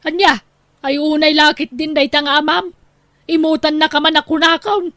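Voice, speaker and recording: A woman's voice: sits high, level tone, moderate pace, fairly bright tone, no echo, clean studio sound. A woman with an Ilocano accent playing a nagging, demanding wife and mother in an audio drama. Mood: grumbling, fond. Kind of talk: Ilocano radio-style drama acting.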